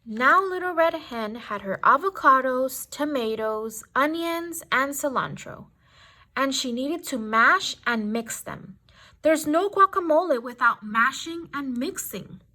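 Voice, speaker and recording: A woman reading a children's story aloud with expressive, deliberate and dramatic phrasing. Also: high-pitched. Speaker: storybook reader.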